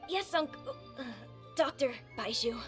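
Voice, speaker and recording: A woman's voice: high-pitched, animated, a little slow, music in the background. A woman voicing a polite, earnest young village boy with worries on his mind in a video game. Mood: polite, curious.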